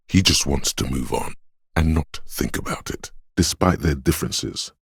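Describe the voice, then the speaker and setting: A perceptive inner voice of empathy in a narrative game. The voice is profoundly deep and very husky, with a velvety weight, recorded close and dry. It narrates with solemn, intimate compassion in measured phrases. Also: male voice, no echo, exaggerated voice. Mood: solemn, tender. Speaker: game voice actor. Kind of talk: scripted second-person game narration.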